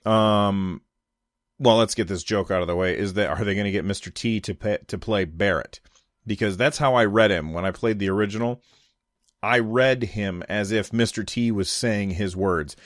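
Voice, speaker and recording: A man's voice: lowish voice, normal speed, dry recording, studio quality. An American man giving casual, unscripted solo commentary on gaming news, thinking aloud in loose conversational phrases. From United States.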